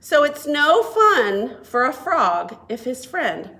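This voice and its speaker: Female children's storytime reader: expressive picture-book delivery, lively melodic range, unhurried and deliberate. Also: sits high, background noise. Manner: expressive, deliberate, asks listeners questions. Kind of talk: reading a picture book aloud to young children.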